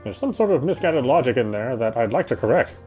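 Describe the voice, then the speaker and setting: A male game streamer moves between casual chat and reading narration aloud. He talks quickly in a mid-range voice, halting and correcting himself, with faint music underneath. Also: medium pitch, lively intonation, darkish tone.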